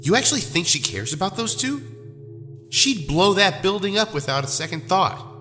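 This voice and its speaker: An actor playing a proud young superhero rival in an audio drama, cocky and confrontational. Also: male voice, sits high, big pitch swings, normal speed, bright, forceful tone, in a large hall, faint music behind, heavily acted. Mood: defiant.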